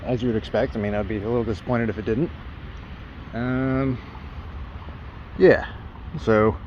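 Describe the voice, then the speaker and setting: Rambling man, worn voice, street noise. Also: medium pitch. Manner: rambling, self-doubting, many ums and restarts. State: voice worn from singing. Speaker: travel vlogger. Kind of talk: casual vlog talk to camera. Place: outdoors by roads and stores. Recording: slightly dull outdoor camera audio with clear street noise.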